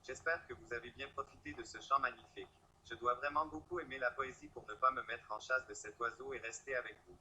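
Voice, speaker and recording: A man's voice: medium pitch, steady tone, fairly quick, hardly any pauses, bright, forceful tone, a little hiss or hum, low-quality recording. A man voicing a pompous, wry talking cat who loves poetry and presents it in a scripted style. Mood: haughty, playful. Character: haughty talking poet cat, playful, male, poetry lover.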